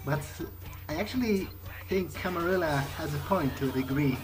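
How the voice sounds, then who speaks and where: Male gamer, role-playing aloud in a playthrough: tentative, chatty questions, with clear music behind the voice. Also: fairly high pitch, lively intonation, normal speed. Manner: hesitant, questioning, conversational. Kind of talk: in-character improvised replies in a game playthrough. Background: game music.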